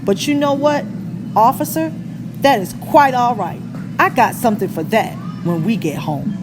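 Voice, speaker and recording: A stern mother voiced by a woman in a narrated story, disappointed and scolding. Her voice is high and swings up and down over music. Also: expressive, normal speed, close to an everyday voice.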